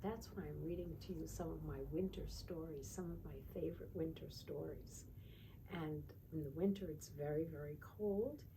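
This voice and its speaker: A woman teacher reading aloud to young children in a sing-song way, pausing to ask simple check-in questions. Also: deep voice, gravelly, background noise. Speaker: children's teacher and storytime reader.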